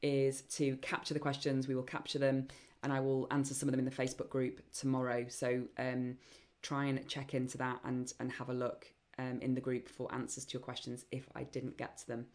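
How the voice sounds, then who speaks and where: A woman hosting a live online Q&A session, chatty and enthusiastic, with many ums and a voice getting croaky. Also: a bass voice, monotone, fairly quick, darkish tone. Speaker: webinar host and program founder.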